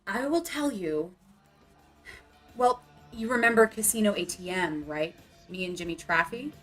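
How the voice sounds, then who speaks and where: A woman's voice: fairly low pitch, lively intonation, unhurried, fairly bright tone, faint music behind. A woman playing a dramatic, wounded young bohemian punk singer in a motion comic. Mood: wistful, confessional.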